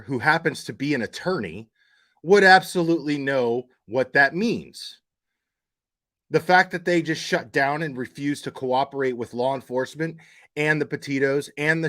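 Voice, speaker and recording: Halting, emphatic man commenting on a livestream. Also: fairly high pitch, fairly bright tone. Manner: halting, repeats words and phrases; emphatic, opinionated, blunt with profanity. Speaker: livestream host. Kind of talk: casual true-crime livestream commentary.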